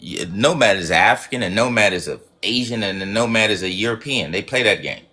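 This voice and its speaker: A man's voice: lowish voice, expressive, a little fast, sharp tone. Profane, preachy man rambling on a stream. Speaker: livestream host. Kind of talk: rambling livestream monologue.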